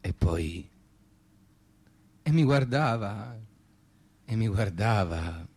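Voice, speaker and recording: Very slow, deep, husky dead man. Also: lively intonation, a little mellow, no echo, heavily acted. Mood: wistful, unrepentant. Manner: slow, repetitive, laconic. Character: dead man's spirit, wistful shameless womaniser. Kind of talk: radio theatre acting.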